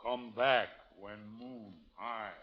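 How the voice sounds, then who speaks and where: Proud war chief played by a male actor, slow halting broken English, vintage radio drama, muffled and heavily reverberant. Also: medium pitch, fairly even intonation, lightly acted. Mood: menacing, demanding. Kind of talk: 1930s radio drama acting. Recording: muffled old radio recording, long reverb.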